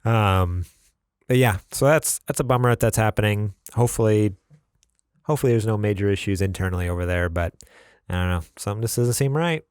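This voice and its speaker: A man's voice: lowish voice, fairly quick, a little mellow, smooth, dry recording, studio quality. A man co-hosting a gaming podcast, chatting casually and reading news stories aloud with his own asides. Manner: laid-back, reads stories aloud with asides. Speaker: podcast co-host.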